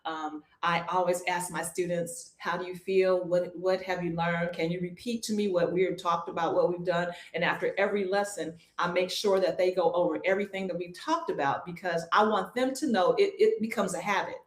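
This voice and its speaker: A woman who teaches swimming, answering interview questions in a calm, reassuring way and repeating her key points. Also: lowish voice, fairly quick, hardly any pauses. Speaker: swim instructor. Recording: echoey room.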